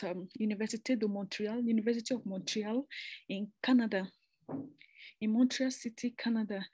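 A woman's voice: mid-range voice, a little mellow, no room sound. A young woman from Nigeria giving an informal slide presentation, chatty and conversational, often restarting phrases with asides. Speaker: presenter explaining a master's program.

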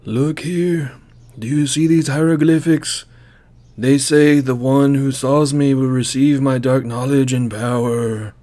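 A man's voice: medium pitch, fairly even intonation, takes it slowly, dark tone, natural voice. A man voicing an old grandfather in an anime fandub, a cheeky storyteller. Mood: grave storytelling. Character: cheeky old grandfather, a grave teller of legends.

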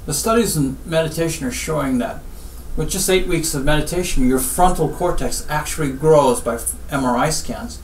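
A middle-aged man from Guyana, a surgeon giving advice in an interview in measured, deliberate short phrases with pauses. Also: a little high. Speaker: spine surgeon, interview guest. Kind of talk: interview answers, health advice. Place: small office, seated at desk. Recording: roomy, distant mic, faint background noise.

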